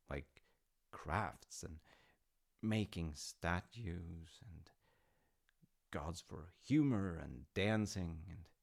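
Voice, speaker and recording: A man playing a warm, gently teasing dad in an intimate audio roleplay, speaking thoughtfully and reassuringly with a gravelly voice. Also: low voice, full character voice. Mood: musing. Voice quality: gravelly, creaky. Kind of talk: intimate audio roleplay, slice-of-life acting.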